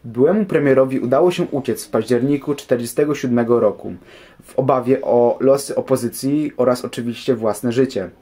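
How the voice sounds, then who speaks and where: A young man with a soft, mellow voice delivers prepared historical commentary. His tone is rounded and relaxed, and he speaks in full, formal sentences as a programme guest. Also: mid-range voice.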